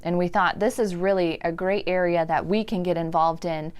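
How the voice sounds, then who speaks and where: A woman's voice: lowish voice, fairly even intonation, moderate pace, clear, resonant tone. A young woman from a speech and debate team, explaining her team's work in an earnest interview. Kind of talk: interview answers.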